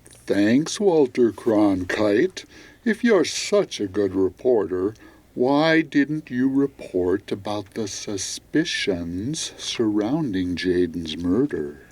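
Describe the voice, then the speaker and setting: An actor playing a sarcastic, needling radio DJ in an audio drama, with a sardonic way of talking. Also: male voice, lowish voice, big pitch swings, takes it slowly, a little mellow, exaggerated voice. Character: sarcastic, needling male radio DJ who stirs trouble. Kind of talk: audio theater acting.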